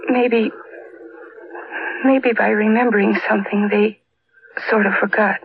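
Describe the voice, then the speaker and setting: An actress playing an earnest, hopeful woman survivor in a 1940s radio drama, speaking slowly and pleadingly. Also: medium pitch, steady tone, vocal fry. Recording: muffled, noisy 1940s radio recording.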